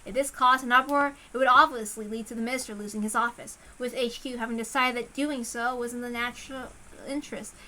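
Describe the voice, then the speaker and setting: Bright, high-voiced woman reading a story. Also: fairly even intonation, a little fast. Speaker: visual novel reader. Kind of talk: dramatic first-person story narration.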